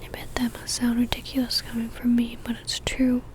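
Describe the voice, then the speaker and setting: A woman voicing a lovestruck, possessive vampire in a soft, breathy ASMR whisper, speaking intimately and coaxingly close to the microphone. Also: fairly high pitch, monotone, a little fast, natural voice. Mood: tender, lovestruck. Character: possessive lovestruck female vampire stalker, centuries old.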